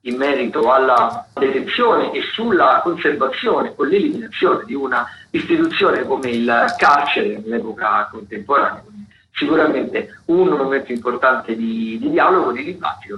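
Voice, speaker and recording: Man on roomy, muffled radio. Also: mid-range voice. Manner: halting, digressive, self-correcting delivery. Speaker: radio host. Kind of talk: unscripted radio listings of theatre and dance events. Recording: roomy, dull lo-fi radio sound, faint noise.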